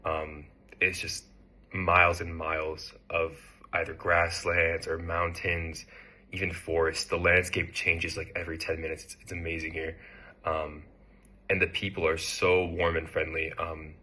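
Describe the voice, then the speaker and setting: A young American man in his twenties, speaking about his internship in a relaxed, hesitant way with frequent fillers. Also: low voice, measured pace. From United States. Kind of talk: casual testimonial.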